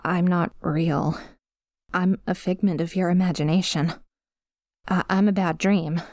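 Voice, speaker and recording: A woman playing a possessive but vulnerable female sleep paralysis demon in an ASMR roleplay, speaking softly and hesitantly, close to the mic. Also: lowish voice, fairly even intonation, dry recording, natural voice. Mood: shaken, insistent. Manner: hesitant, halting, tentative, confiding. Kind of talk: ASMR roleplay, intimate monologue addressed to a sleeper. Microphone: close microphone, dry.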